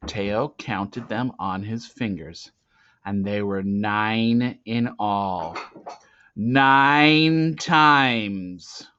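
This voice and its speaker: A man reading a storybook aloud as narrator, with dramatic, suspenseful swells and hushed drops. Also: lowish voice, very slow, clear, resonant tone. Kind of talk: expressive storybook read-aloud. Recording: dull lo-fi, roomy echo.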